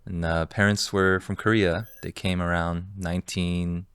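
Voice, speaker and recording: A man in his thirties from Los Angeles, a guest entrepreneur telling his story in a relaxed, candid way with many fillers. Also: sits low, unhurried, clean tone. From Los Angeles, California. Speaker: guest, entrepreneur and fund manager. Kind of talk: casual interview storytelling.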